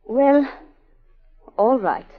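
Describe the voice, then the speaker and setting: Guarded governess with a past: high, clipped, dramatic vintage radio delivery, dull recording. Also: female voice, lively intonation, extremely slow, exaggerated voice. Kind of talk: 1940s radio drama acting with narration. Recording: dull 1940s radio broadcast, music behind.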